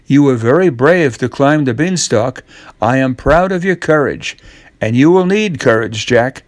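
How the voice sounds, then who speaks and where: A kindly, wise fairy woman from a storybook, voiced by a man. The speech is slow and measured, in a dry, close recording. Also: medium pitch, animated, dry recording, natural voice. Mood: kind, solemn.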